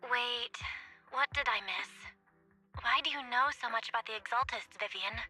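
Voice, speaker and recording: An inquisitive, concerned video game companion. Her voice is high and very bright, with some huskiness. The delivery is animated. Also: normal speed, husky, soft background music, exaggerated voice. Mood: curious, concerned.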